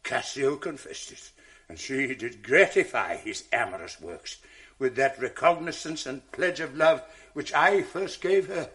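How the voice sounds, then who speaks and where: A proud, grief-stricken general in a radio play. He speaks slowly, his pitch swinging widely from roars down to hushed sorrow. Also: expressive. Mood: anguished. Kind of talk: 1980s radio drama acting.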